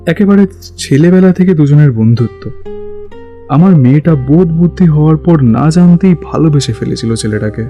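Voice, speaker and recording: A man's voice: a little high, lively intonation, brisk, dark tone. An actor playing a middle-aged father who confides earnestly and sadly about his grown daughter in an audio drama. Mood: reminiscing. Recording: music under the voice.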